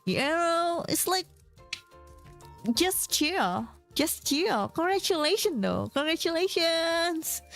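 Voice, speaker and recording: A woman's voice: high-pitched, fairly bright tone. A young woman streamer who is not a native English speaker, chatting casually with her viewers in a rambling way and laughing often. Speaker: vtuber streamer.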